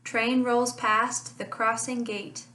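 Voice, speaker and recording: A woman teacher reading a rhyming children's picture book aloud in a slow, sing-song way, with lively honks. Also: on the high side. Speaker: family literacy teacher. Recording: echoey room, voice a bit far from mic.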